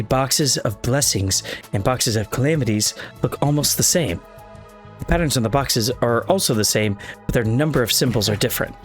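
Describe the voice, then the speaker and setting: A man voicing a helpful, earnest ghost boy from a video game, explaining things in a deliberate, halting way. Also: medium pitch, lightly acted. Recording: game music playing behind the voice.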